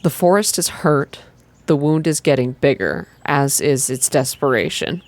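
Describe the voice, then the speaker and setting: A woman voicing a solemn, mystical male forest activist in a story reading, with measured delivery. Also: rumbling low, big pitch swings, darkish tone, dry recording, full character voice. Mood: grave, impassioned. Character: grave, mystical man, passionate forest activist.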